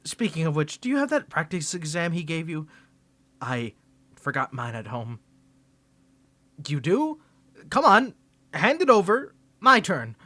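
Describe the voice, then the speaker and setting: A man's voice: on the high side, big pitch swings, a little slow, pauses often, bright, forceful tone, no echo, full character voice. A man voicing a flustered young tsundere male student rival in an ASMR roleplay, teasing and defensive, stammering when caught off guard. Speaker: ASMR creator.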